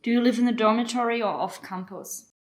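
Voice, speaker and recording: A woman hosting a student interview show, reading scripted introductions and questions in an even manner. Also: on the high side, fairly quick. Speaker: host.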